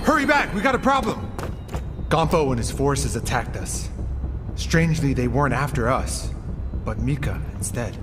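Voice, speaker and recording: Urgent male comrade, acted out over loud noise. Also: medium pitch, very animated, moderate pace, lightly acted. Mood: alarmed. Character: urgent male comrade piloting the team's aircraft. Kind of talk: video game cutscene voice acting. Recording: loud engine and ambient noise.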